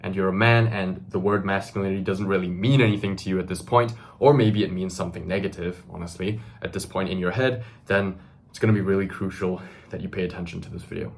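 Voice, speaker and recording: A young man in his twenties with a very low, deep voice talks straight to the camera. He speaks earnestly and emphatically, often tagging his sentences with "right?" and pausing on fillers. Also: steady tone, slight background noise. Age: 20s. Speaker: self-improvement video host. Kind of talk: direct-to-camera monologue.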